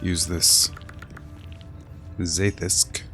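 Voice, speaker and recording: A man's voice: sits low, little pitch movement, extremely slow, pushed and bright, soft background music. A man giving casual live gameplay commentary as a streamer, rambling and thinking aloud. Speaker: game streamer.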